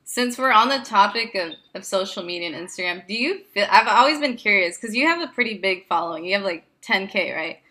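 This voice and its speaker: Woman interviewer, bright voice, curious, lots of "right", casual chat. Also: medium pitch, animated, a little fast, few pauses. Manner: curious, with frequent backchannel "right".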